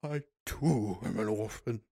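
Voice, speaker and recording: A man's voice: fairly low pitch, animated, measured pace, gravelly, mellow, slightly rough, dry recording, studio quality, exaggerated voice. A man doing a sobbing old chancellor. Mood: mournful. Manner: moaning, choked with sobs. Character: old weeping male chancellor, timid and mournful.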